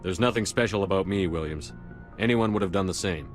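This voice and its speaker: A man playing a steady, modest military commander in a video game, firm and reassuring. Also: low voice, a little fast, faint music behind, natural voice. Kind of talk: video game dialogue acting.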